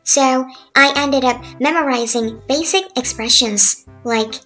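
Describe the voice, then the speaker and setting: A woman in her thirties from South Korea, speaking English with a Korean accent, calmly narrating a chill, laid-back talk as a language tutor. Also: sits high, a little slow. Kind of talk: relaxed scripted narration over drawings. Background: faint music.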